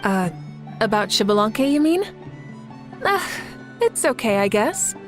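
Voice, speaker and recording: A woman voicing a confident, composed leader with a playful side in a video game, speaking in a measured, assured and lightly teasing way. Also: a little high, big pitch swings, faint music behind.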